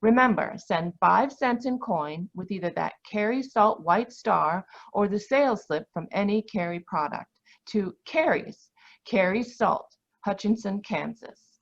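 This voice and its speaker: A woman's voice: animated, unhurried, lo-fi sound. Woman doing an eager old-time radio ad. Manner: bright, eager sales pitch to children. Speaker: announcer. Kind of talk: retro radio ad read. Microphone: home mic over video call.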